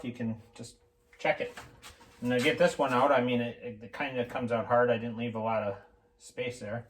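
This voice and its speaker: A man with a medium-pitched voice walks through a hands-on tool demonstration. He speaks informally and without a script, pausing and thinking aloud as he works out each explanation. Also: slight background noise. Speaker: hobbyist mechanic presenting a homemade tool demonstration. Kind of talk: casual unscripted how-to explanation.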